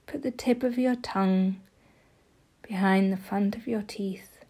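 A woman leading a guided meditation with soft, gentle, often breathy delivery, giving soothing instructions. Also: mid-range voice, steady tone, a little slow.

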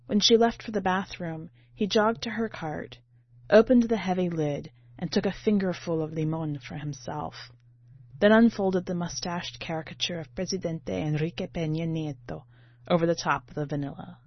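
Low-voiced woman reading in a roomy space. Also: moderate pace. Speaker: podcast editor reading a short story. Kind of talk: literary audiobook-style narration. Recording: muffled, roomy home recording.

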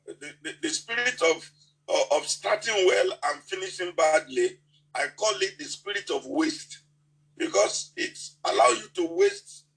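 A man with a Nigerian accent delivers a sermon in a fairly high, gravelly voice. He speaks haltingly, repeating words and circling back as he restarts his sentences. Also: fairly bright tone, rough recording. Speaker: preacher.

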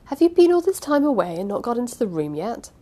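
A woman voicing a small, kindly old uncle in an audiobook, speaking in gentle, coaxing, exclamatory phrases. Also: medium pitch, lively intonation, moderate pace, creaky. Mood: astonished. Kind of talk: audiobook character voice.

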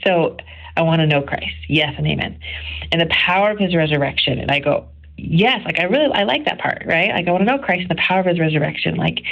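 Middle-aged woman, low pitch, creaky fry, earnest informal devotional talk, conversational, emphatic. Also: muffled recording. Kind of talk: informal devotional reflection on scripture.

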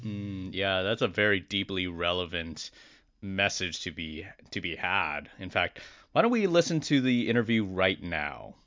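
Deep, smooth male co-host, brief. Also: expressive, measured pace, a bit bright, highs cut off. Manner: brief, agreeable responses. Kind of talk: casual podcast chat.

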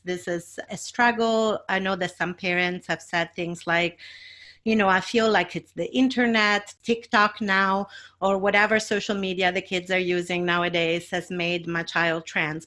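A woman's voice: lowish voice, sharp tone. A woman with a Mediterranean accent, answering interview questions as an expert and explaining terms patiently, step by step. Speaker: guest expert.

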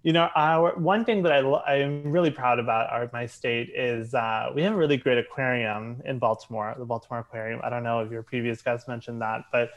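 A man's voice: mid-range voice, fairly even intonation, fairly quick, hardly any pauses, highs cut off, faint music behind. A man from Maryland chatting as a podcast guest, hesitant and full of fillers and restarts. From Maryland, USA. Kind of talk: casual interview chat.

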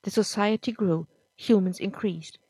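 A woman reading a storybook, voicing a calm, wise council spirit of dust in a soft, measured, reassuring way. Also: mid-range voice, breathy edge, no echo. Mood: soothing.

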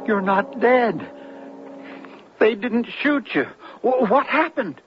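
An earnest, excitable radio ham in a suspense radio play. His delivery swoops up and down with folksy exclamations and hesitant stumbles. The broadcast is old and muffled. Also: very high voice, slow, heavily acted. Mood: bewildered. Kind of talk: 1970s radio suspense drama acting with first-person narration.

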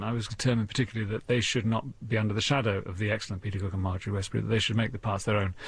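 A man, a radio drama producer, giving brisk, assured answers in an interview. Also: lowish voice, vocal fry, a little hiss or hum.

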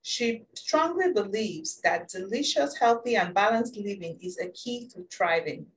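A woman's voice: medium pitch, animated, measured pace, recorded from a distance, poor audio. A woman hosting a show, chatting casually and eagerly with her guest, laughing often. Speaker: podcast host. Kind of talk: casual interview chat.

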